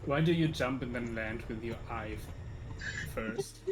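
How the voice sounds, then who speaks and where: A man chatting casually as co-host of a game stream, teasing and dryly ironic, with halting phrasing. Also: mid-range voice, background noise. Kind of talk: casual gameplay chat.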